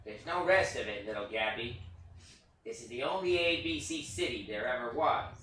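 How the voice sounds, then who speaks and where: An actor playing an anxious, tender puppet hero with a stammering, earnest delivery in a staged play reading. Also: male voice, mid-range voice, expressive, moderate pace, pushed and bright. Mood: hesitant, earnest. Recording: echoey room, distant mic, faint noise.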